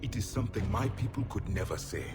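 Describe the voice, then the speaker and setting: Game role: male actor as grave ancient alien warrior, low-voiced, formal and declamatory, over loud music. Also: animated, fast talker, lightly acted. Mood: solemn, stirring. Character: solemn ancient male alien warrior, last of his people. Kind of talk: video game dramatic acting.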